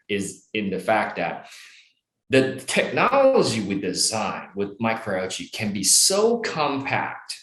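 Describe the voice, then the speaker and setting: A middle-aged man from Taiwan, a startup founder answering interview questions in long, animated run-on explanations with fillers. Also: mid-range voice, reverberant, poor audio.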